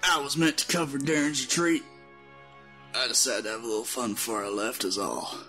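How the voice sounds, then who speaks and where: Man acting injured careless henchman, pained, middle pitch, audio drama, music behind. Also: fairly even intonation, fairly quick, sharp tone, close to an everyday voice. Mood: pained confession. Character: injured, careless male henchman at captor's mercy.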